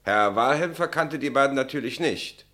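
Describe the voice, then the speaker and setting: An actor playing a brusque army captain in a German radio play, questioning curtly and with authority. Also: male voice, mid-range voice, lively intonation, moderate pace, pushed and bright. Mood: probing, stern. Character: brusque, commanding army captain interrogating witnesses.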